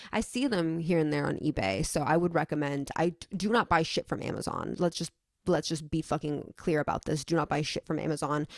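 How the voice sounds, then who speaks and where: A woman's voice: low voice, vocal fry, dry recording, clean studio sound. A young adult woman vlogging in a casual, rambling way, opinionated and emphatic, with some swearing.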